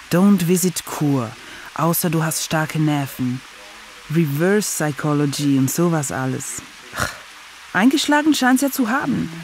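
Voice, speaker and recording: A woman's voice: lowish voice, slight background noise. An actress playing a broke young woman who runs her own small agency and narrates her troubles with sarcastic asides. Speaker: narrator and lead.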